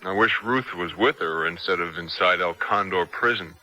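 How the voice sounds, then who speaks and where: A man's voice: deep voice, moderate pace, sharp tone, low-quality recording. An actor playing a determined, impatient man in a 1970s radio drama, urgent and insistent. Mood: worried, pressing. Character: determined, impatient man fighting to free imprisoned Ruth.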